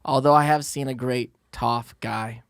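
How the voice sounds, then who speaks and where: A man's voice: medium pitch, fairly even intonation, unhurried, vocal fry, clear, resonant tone, dry recording. A man co-hosting a podcast in casual, joking, emphatic banter. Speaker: podcast host.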